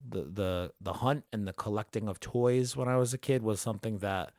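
A man hosting a podcast, talking casually in a relaxed, rambling way with lots of fillers and restarts. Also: fairly low pitch, moderate pace, no echo, studio quality. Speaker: podcast host. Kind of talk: casual chat.

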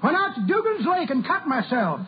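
An actor playing a folksy old joking codger with a rustic, ungrammatical drawl in an old radio comedy, on a noisy muffled recording. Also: male voice, very high-pitched, very animated, fairly quick. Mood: jovial, folksy. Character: folksy old codger full of tall jokes. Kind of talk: 1940s-50s radio comedy acting.

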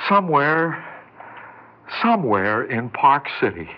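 An actor playing a timid, self-loathing lonely bachelor in an American 1960s radio drama, stammering and repeating himself in a husky voice. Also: male voice, medium pitch, big pitch swings. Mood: dreamy, excited. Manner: stammering, halting, repeats words. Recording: dull 1960s radio recording.

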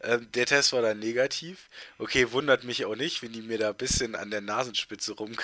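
A man's voice: mid-range voice, steady tone, brisk, sharp tone, a little hiss or hum. A teenage boy co-hosting a casual podcast chat, congested with a heavy cold, rambling with lots of fillers and restarts. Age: teens. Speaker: podcast co-host.

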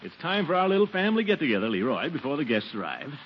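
An actor playing a pompous, doting middle-aged uncle with a blustery, chuckling manner in 1940s radio comedy style. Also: male voice, on the high side, very animated, quick pace. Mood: warm, busy. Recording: noisy, muffled 1940s radio recording.

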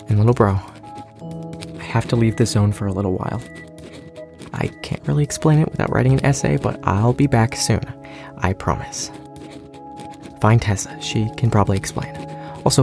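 A man acts out a young survivor in an apocalyptic radio play. His medium-pitched voice is earnest and uneasy, naturalistic in delivery, that of someone anxious yet set on his course. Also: creaky, lightly acted. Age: young adult. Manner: earnest, self-talking, uneasy. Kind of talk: naturalistic audio drama acting.

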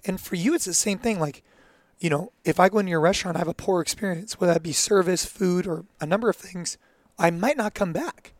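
A man with a high voice hosts an interview, talking fast and eagerly as he sets up his questions. The recording is dry and close. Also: dry recording. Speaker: podcast host for gym owners. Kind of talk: interview host.

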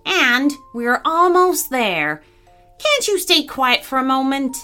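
A woman's voice: high-pitched, sing-song, slow, bright, forceful tone, soft background music, pitched above the performer's own voice, full character voice. A woman voicing a cheeky, eager, talkative male parrot in a children's story. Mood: chiding. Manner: gabby, teasing, exclamatory.

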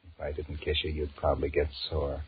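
A restless married businessman in a 1940s radio drama, on a muffled, hissy old recording. His voice is extremely low, and he grumbles and wheedles wearily. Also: monotone, a little fast. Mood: flirtatious, grumbling. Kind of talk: 1940s radio drama acting, inner monologue and dialogue.

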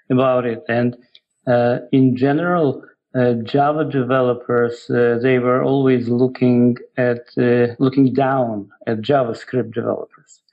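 Gravelly middle-aged man with Eastern European accent. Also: medium pitch, highs cut off. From Ukraine. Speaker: guest, software developer. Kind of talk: casual interview answers.

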